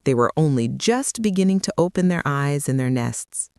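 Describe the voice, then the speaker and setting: Female storyteller for bedtime tales, deep-voiced and gentle, soothing yet melodically varied. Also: expressive, moderate pace, dry recording, clean studio sound. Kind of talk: calming children's storybook narration.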